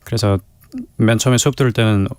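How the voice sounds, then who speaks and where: A young man in his twenties with a North Korean accent speaks politely and a little hesitantly. His voice sits low, with light laughs between answers. The radio studio sound is dry and close. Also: fairly even intonation, fast talker, darkish tone, smooth, no room sound. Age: 20s. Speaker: guest. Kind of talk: radio interview.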